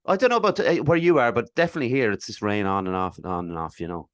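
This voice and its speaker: A man hosts a podcast in a low voice, asking friendly questions that wander and restart. The sound is close and dry, with no room echo. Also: very fast, a bit bright, clear, resonant tone. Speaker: podcast host. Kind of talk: casual interview chat.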